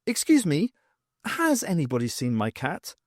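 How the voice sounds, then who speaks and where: A man voicing a younger woman academic in an audiobook, brisk and polite. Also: a little high, wildly swooping pitch, no echo, studio quality. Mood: polite, inquiring. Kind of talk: audiobook character voice.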